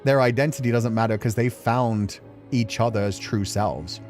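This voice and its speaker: A man's voice: medium pitch, moderate pace, a little mellow. A man giving casual, reflective commentary as a streamer, talking in an earnest, emotional, rambling way. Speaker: streamer hosting a game playthrough. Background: music.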